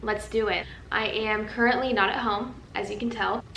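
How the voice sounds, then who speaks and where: A young woman vlogging in a casual, chatty way, tired and a little raspy from a nap, in a large empty house. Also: medium pitch, steady tone, moderate pace, sharp tone, reverberant, a little hiss or hum, low-quality recording. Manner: chatty, rambling, earnest. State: tired, slightly raspy from just waking up. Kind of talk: casual chat to camera. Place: large empty house used as a wedding venue.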